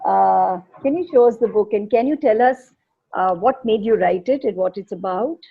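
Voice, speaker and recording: A woman hosting an interview, asking short rapid-fire questions with brief hums between. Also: medium pitch, gravelly, slightly rough, phone-quality sound. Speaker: host. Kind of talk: casual video interview.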